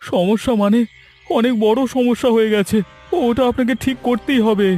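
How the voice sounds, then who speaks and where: A man's voice: very high-pitched, steady tone, moderate pace, mellow. A man voicing a cowardly, apologetic young village man in a cartoon, pleading and stammering. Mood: worried. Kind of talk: cartoon voice acting. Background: background music.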